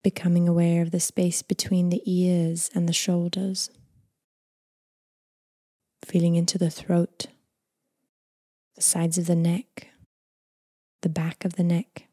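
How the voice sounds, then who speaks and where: Female meditation guide, breathy near-whisper, calm unhurried delivery, very dry close recording. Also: lowish voice, no room sound. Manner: gentle, unhurried, calming delivery with long phrases trailing off. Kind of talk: guided body scan meditation, slow and soothing.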